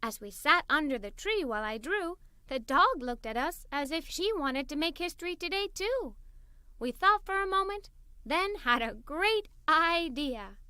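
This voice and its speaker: A woman playing a curious, excitable young girl who narrates a children's storybook in an animated, expressive way. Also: high voice, a little slow, no echo, studio quality, heavily acted. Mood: bright, curious. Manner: animated, expressive, wide-eyed. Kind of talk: scripted children's story read-aloud.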